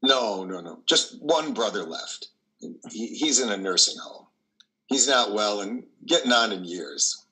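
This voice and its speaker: Man playing a very bright-voiced older priest. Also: fairly low pitch, expressive, unhurried, low-quality recording. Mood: kindly, hesitant. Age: older, 60s or over. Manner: gentle, hesitant, encouraging. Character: kindly, reclusive older male priest. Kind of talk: play reading over video call.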